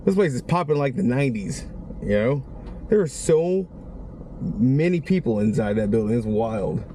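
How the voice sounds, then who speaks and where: A man with a raspy, strained voice, rambling casually through a vlog from a parked car. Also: medium pitch, lively intonation, normal speed, warm tone, slight background noise. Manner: rambling, conversational, many restarts. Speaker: vlogger.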